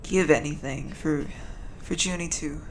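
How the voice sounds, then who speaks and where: A woman acts out a grieving member of a noble fantasy house, speaking at a funeral in an audio drama. The voice is on the low side and very slow, solemn and measured. A faint rumbling noise sits in the recording. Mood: grieving, halting. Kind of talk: audio drama eulogy with solemn scripture-like reading.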